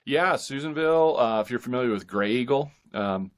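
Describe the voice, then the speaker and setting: A man's voice: medium pitch, expressive, fairly quick. A middle-aged man with an American accent, talking casually as an interview guest in hesitant, meandering phrases. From northeastern California, United States. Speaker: podcast guest, author and pastor.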